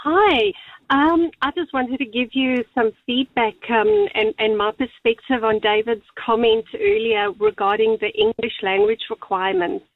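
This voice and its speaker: A woman calling a radio show, calmly giving polite, measured feedback. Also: a little high, dry recording. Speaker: caller. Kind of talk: talkback call. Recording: tinny phone line.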